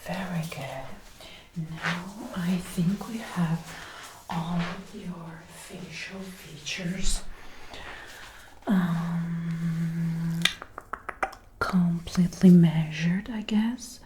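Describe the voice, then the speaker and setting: A woman doing soft-spoken ASMR roleplay, murmuring and whispering up close into a binaural microphone. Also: fairly low pitch, fairly even intonation, extremely slow, pauses often, background noise. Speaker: ASMR creator acting out a personal attention measuring roleplay. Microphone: close binaural microphone, ear to ear.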